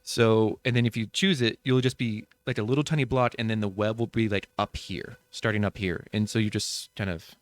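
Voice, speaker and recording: A man's voice: on the low side, steady tone, fairly quick, few pauses, dry recording. A man co-hosting a podcast, rambling and joking in casual chat with lots of fillers. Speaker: podcast co-host.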